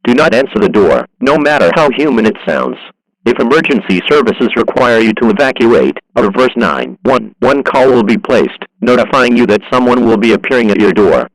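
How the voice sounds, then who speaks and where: A man acting as a government emergency announcer delivers a scripted alert. His voice sits at an average male pitch, with a formal, impersonal, measured manner. The sound is close and dry, with no room on it. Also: animated, no room sound, close to an everyday voice, poor audio.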